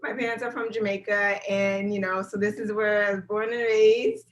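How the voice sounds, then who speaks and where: A woman's voice: medium pitch, little pitch movement, moderate pace, muffled recording. A woman from South Florida, a guest on an interview podcast, talking energetically and conversationally, often repeating words as she thinks. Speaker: guest, brand videographer. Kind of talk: casual interview chat.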